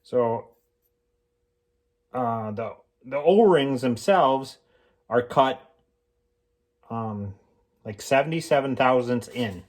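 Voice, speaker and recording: A man explaining homemade tools in a casual, unscripted how-to style, informal and halting as he thinks aloud. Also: medium pitch. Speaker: hobbyist mechanic presenting a homemade tool demonstration.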